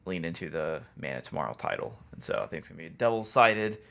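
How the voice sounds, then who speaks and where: A man's voice: deep voice, animated, fast, creaky, fairly bright tone. A man talking casually as a podcast co-host. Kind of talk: casual chat.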